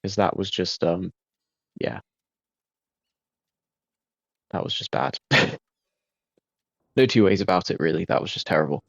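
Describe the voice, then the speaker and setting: Man, relaxed game commentary, self-critical, lots of fillers, low voice, gravelly. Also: moderate pace, dry recording, highs cut off. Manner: laid-back, self-critical, frequent fillers. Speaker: gaming video creator.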